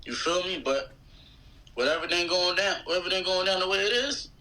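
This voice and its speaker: A man's voice: high voice, fast, pushed and bright, low-quality recording. A man from Philadelphia chatting casually and slangily as a podcast guest. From Philadelphia, United States. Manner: slangy, informal. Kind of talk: casual chat.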